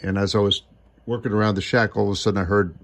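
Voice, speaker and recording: Low-pitched, gravelly man chatting casually. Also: steady tone, quick pace. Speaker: amateur radio hobbyist. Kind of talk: casual off-the-cuff vlog talk.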